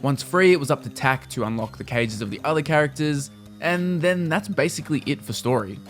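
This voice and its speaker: Higher-pitched man narrating a scripted review. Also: lively intonation, fairly quick. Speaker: video game reviewer. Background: music under the voice.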